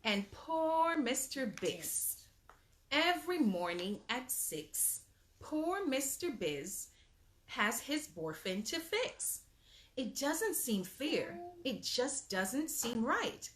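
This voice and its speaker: A woman reading a children's bedtime story aloud in an expressive, emphatic storybook manner, with asides to young listeners. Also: high voice, slow pace.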